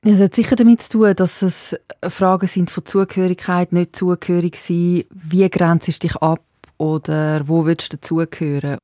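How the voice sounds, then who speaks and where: A woman's voice: on the low side, steady tone, moderate pace, dark tone, no echo, clean studio sound. A woman in her late thirties with a Swiss German accent, a native Serbo-Croatian speaker, talking thoughtfully about her writing with frequent restarts. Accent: Swiss German, Zurich/Winterthur. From Switzerland. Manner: thoughtful, self-questioning with restarts. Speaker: author interviewed. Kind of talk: reflective casual talk.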